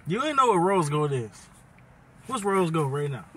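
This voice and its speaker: Man, eighteen, casual car vlog, teasing, bright tone, phone at arm's length, light background noise. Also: on the high side, sing-song, normal speed. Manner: laid-back, joking, teasing. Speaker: vlogger. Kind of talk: casual chat. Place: inside a parked car. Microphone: phone camera at arm's length.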